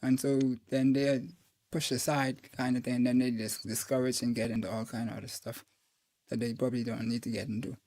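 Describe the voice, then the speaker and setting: A man's voice: mid-range voice, flat delivery, brisk, darkish tone, no echo. A Bahamian man hosting an interview podcast, easygoing and conversational, dropping in short agreements. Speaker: podcast host. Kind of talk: casual interview chat.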